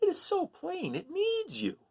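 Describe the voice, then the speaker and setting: A man voicing a gentle, polite young hermit crab from a children's picture book, with drawn-out, admiring phrases and a slightly anxious streak. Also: very high-pitched, huge pitch swings, pitched above the performer's own voice, exaggerated voice. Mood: admiring, hopeful. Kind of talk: animated children's picture book read-aloud.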